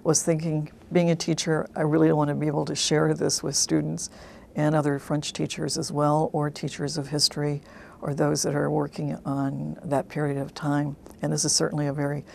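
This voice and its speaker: A woman who teaches French, a guest author speaking in a measured, hesitant way in an interview. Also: low-pitched, completely flat delivery, vocal fry, a little mellow, a little hiss or hum.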